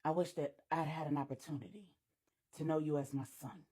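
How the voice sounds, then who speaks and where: A woman voicing a solemn, resolute middle-aged man, a dangerous father speaking slowly and gravely in an audio story. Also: sits low, steady tone, dark tone, voice pushed down, lightly acted. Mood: solemn regret. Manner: slow, grave, measured.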